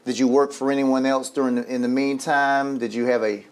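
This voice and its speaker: A man's voice: medium pitch, little pitch movement, a little fast, clear, resonant tone. A man hosting a local interview show, asking questions and giving many short acknowledgments. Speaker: show host. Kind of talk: interview host.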